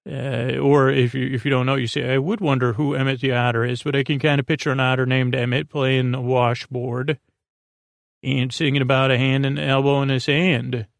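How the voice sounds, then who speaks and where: A man with a creaky, gravelly voice rambles through a bedtime podcast monologue, halting, repeating himself and trailing off as host. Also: mid-range voice, little pitch movement, no echo. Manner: meandering, halting, repetitive, trailing off.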